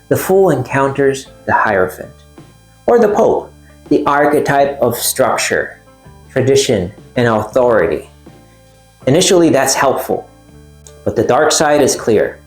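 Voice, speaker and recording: Man, essay narrator, measured and wry, roomy sound, faint music under him. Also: on the high side, darkish tone. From Puerto Rico. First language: Spanish. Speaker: video essayist. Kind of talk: reflective video essay narration.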